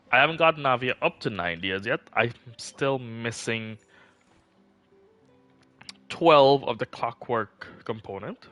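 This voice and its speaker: A man's voice: mid-range voice, pushed and bright, no echo. A man livestreaming a game, chatting casually in a rambling, informal way. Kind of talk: casual livestream chat about game builds.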